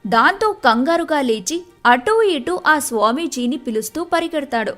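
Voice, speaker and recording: Woman narrator for a kids moral story, high voice, bit of music behind. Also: lively intonation, measured pace, fairly bright tone. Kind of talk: scripted children's story narration.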